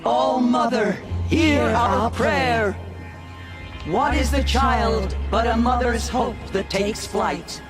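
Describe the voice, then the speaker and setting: Solemn game priestess: very slow, measured ritual speech over prominent music. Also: female voice, a little high. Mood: solemn, reverent. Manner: measured, ceremonial, reverent. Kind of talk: video game cutscene acting. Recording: loud music under the voice.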